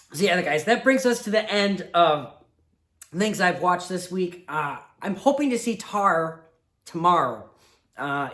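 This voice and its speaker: A man's voice: sits high, fairly bright tone. A man hosting a casual movie review show, sick, sniffling and with his voice fading, talking in a rambling, heartfelt way. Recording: roomy echo.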